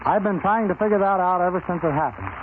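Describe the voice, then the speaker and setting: A man's voice: sits high, lively intonation, quick pace. A plain-spoken man, a police officer guesting on 1930s radio, tells a scripted story over a tinny, hissy broadcast.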